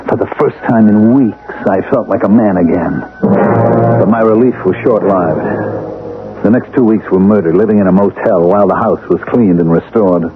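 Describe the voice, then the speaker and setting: A man's voice: on the low side, moderate pace, lightly acted. A man playing a guilt-ridden, weary middle-aged family man in a tense, brooding radio drama. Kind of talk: 1970s radio drama acting, first-person narration. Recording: narrowband vintage radio, music underneath.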